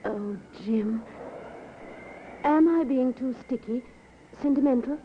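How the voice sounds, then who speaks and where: High-voiced, clinging young mistress. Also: female voice, slow pace. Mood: anxious, hurt. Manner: emotional, pleading. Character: clinging, lovesick young mistress, turning hurt and desperate. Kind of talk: 1950s radio drama acting. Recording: muffled, noisy 1950s radio recording.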